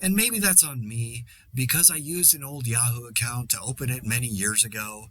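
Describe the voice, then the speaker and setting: A man's voice: medium pitch, moderate pace, bright, forceful tone, close to an everyday voice. A man playing an exasperated podcaster in a zombie outbreak, delivering a dry, sarcastic, rambling solo monologue in a conversational way. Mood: exasperated, ranting. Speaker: podcast host.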